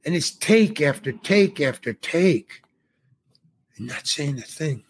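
A man's voice: on the high side, animated, slow, creaky, breathy edge. A man, an older actor, telling a rambling showbiz anecdote in a casual interview, restarting sentences and acting out quotes. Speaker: guest actor.

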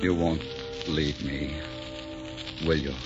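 An actor playing a romantic, commanding sheik hero, earnest and melodramatic in the manner of 1940s radio. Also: male voice, rumbling low, steady tone, slow, music in the background. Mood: weak, tender. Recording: dull 1940s radio recording.

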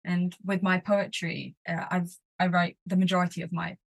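A woman's voice: lowish voice, flat delivery, normal speed, creaky. A young woman from Sweden, a spoken word poet, talking casually as a podcast guest with lots of fillers and restarts. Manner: chatty, lots of "like" and "um", frequent restarts. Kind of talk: casual interview talk.

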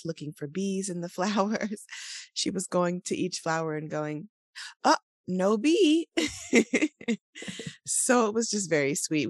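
A woman, co-hosting a casual morning podcast chat in a relaxed, meandering way, her voice still sleepy. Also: low voice, no room sound, studio quality. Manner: relaxed, laughs often, meandering. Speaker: podcast co-host.

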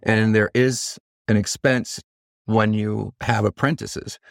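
Man, podcast host, laid-back, swears freely, lowish voice, dry sound. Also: steady tone, slow pace, gravelly, dry recording. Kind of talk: casual interview chat.

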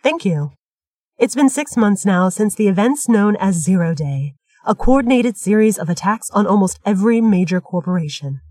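Audio drama: female news reporter woman actor, medium pitch, composed scripted read, dry studio sound. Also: expressive, mellow, no echo, lightly acted. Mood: composed, serious. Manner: measured, formal.

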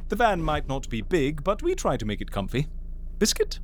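Acted excitable schemer, eager, theatrical, hugely animated pitch, comic audio drama, slight background ambience. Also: normal speed, slight background noise, close to an everyday voice. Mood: gleeful scheming. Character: excitable, scatterbrained, playful schemer at a comic agency. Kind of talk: comedy audio drama acting.

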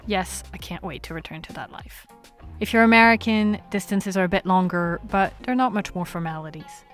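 Lively female podcast host over faint music. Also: lowish voice, a little fast. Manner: lively, playful, curious. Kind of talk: scripted podcast intro and interview.

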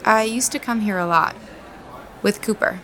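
A woman's voice: mid-range voice, moderate pace, sharp tone, close to an everyday voice. A woman acting in a naturalistic audio drama as a confident, dryly teasing, blunt farm owner. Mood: teasing, relaxed.

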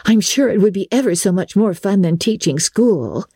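A woman's voice: medium pitch, lively intonation, moderate pace, warm tone, breathy edge, no room sound, studio quality, pitched above the performer's own voice. A woman voicing a wistful teenage girl who sighs and dreams of riches, in a storybook reading. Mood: wistful, excited. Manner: sighing, wistful.

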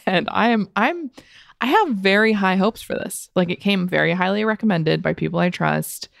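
A woman co-hosting a book podcast, chatting casually with a gravelly morning voice, laughing often and trailing off with fillers. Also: medium pitch, expressive, moderate pace, dry recording, studio quality. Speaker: podcast co-host.

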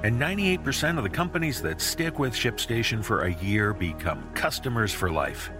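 A man delivering a smooth, formal, scripted podcast ad read with fluent phrasing. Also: mid-range voice, expressive, moderate pace. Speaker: podcast ad reader. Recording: background music bed under voice. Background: spooky atmospheric music.

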